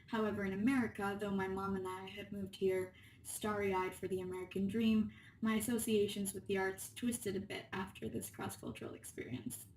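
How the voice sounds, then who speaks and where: A woman's voice: mid-range voice, level tone, normal speed, a little mellow. A woman from Spain reading a scripted personal narration as a student presenter. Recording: roomy, distant mic, faint room noise.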